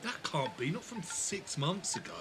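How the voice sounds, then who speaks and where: An actor playing an earnest, uneasy member of an adventuring group in an audio drama, speaking with concern. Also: male voice, fairly high pitch, sing-song, moderate pace, over music. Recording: clearly noisy background ambience.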